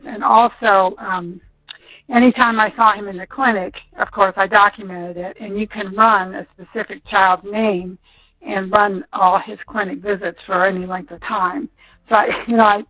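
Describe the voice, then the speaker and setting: A woman's voice: mid-range voice, fairly bright tone, no echo. An American woman, a school nurse, recounting what she remembers in a rambling, conversational phone interview, often qualifying her memory. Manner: rambling, conversational, hedges recollections. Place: on a telephone call. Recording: muffled, dull phone call audio.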